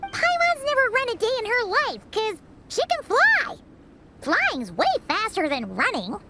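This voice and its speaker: A woman voicing a tiny, cheeky, childlike flying companion in a video game, speaking excitably about herself in the third person. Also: extremely high pitch, measured pace, heavy background noise, full character voice. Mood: reluctant, smug. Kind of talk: video game cutscene voice acting. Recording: loud background music.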